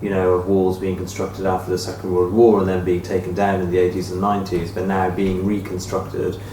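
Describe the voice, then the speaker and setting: Man, deep pitch, tentative phrasing, thoughtful panel discussion, roomy echo, away from the mic. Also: monotone, a little fast, warm tone. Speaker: discussion panelist questioning an author. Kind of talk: thoughtful informal book discussion. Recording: echoey room, distant mic, faint noise.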